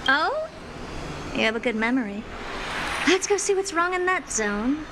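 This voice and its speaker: A woman's voice: high voice, big pitch swings, measured pace, background noise. An actress playing an aloof, condescending woman scientist in a video game, blunt and offhand. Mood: smug explanation. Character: haughty, aloof genius woman scientist, dismissive and impatient. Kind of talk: video game character acting.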